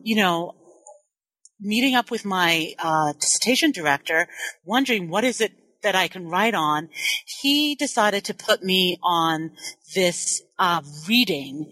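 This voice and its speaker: A woman in her forties, a historian born in Nigeria and a native Igbo speaker, telling her story in an interview with measured, thoughtful speech. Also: on the low side, sharp tone, no echo, poor audio. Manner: thoughtful, self-correcting, measured. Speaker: historian and author. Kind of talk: interview, personal account.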